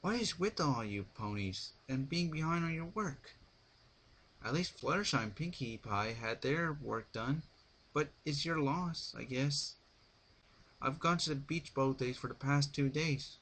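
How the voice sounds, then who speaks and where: A brash young female cartoon pony, voiced by a man, pitched well up from a natural register. The talk is casual, slangy and exclaiming, over clear background noise in an amateur recording. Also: lively intonation, unhurried, fairly bright tone. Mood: casual, grumbling.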